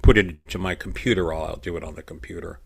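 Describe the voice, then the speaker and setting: A man with a very deep voice talks loosely over a desk microphone on a video call, rambling and restarting his sentences. He has a loud voice and laughs often. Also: steady tone, quick pace, gravelly, husky. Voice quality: loud voice, by his own account. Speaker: show guest or host talking about his design work. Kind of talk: casual video call chat. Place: home room in Japan.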